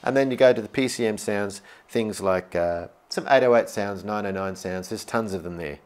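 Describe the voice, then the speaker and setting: A man's voice: lowish voice, moderate pace. A man presenting a product demonstration in an upbeat, enthusiastic way. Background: music, demonstrated keyboard sounds.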